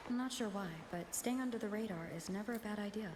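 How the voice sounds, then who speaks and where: A woman playing a young, cautious traveler in a video game, speaking in a measured, thoughtful way. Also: medium pitch, close to an everyday voice. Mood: pensive. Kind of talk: scripted video game acting. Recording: echoey voice with music behind.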